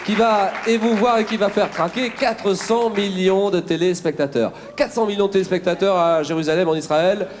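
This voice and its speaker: Male host with a high voice for a man, live show over a loud audience. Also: normal speed, hardly any pauses, a faint crowd, slight background noise. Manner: lively, prompting, announcing. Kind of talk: live TV presenting. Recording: live theatre broadcast with audience.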